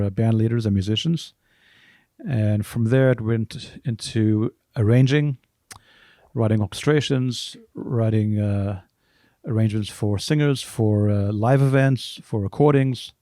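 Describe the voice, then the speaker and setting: A middle-aged man with a softened South African accent, answering interview questions casually in measured, halting phrases. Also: on the low side, dry recording, clean studio sound. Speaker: podcast guest, music arranger.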